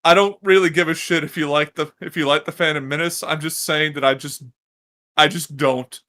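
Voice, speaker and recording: Blunt man with a bright voice. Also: a little high, steady tone, moderate pace. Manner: blunt, swearing, teasing. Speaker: podcast co-host. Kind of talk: casual chat.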